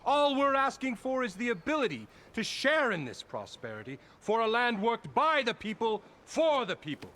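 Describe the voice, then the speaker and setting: An actor playing a pompous, blustering middle-aged labor boss who talks in grand, flattering, evasive turns in a video game. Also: male voice, extremely high pitch, normal speed, hardly any pauses, exaggerated voice.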